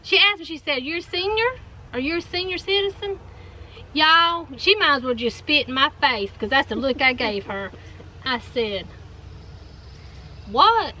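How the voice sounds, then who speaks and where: Southern woman in her forties, bright and high, lively storyteller who keeps saying y'all; outdoor camera-mic sound. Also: measured pace, pauses often, a little hiss or hum. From North Carolina. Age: 40s. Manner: animated storyteller, addresses viewers as y'all. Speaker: co-host. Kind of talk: casual storytelling chat. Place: outdoors, seated in a shaded golf cart. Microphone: fixed camera in front.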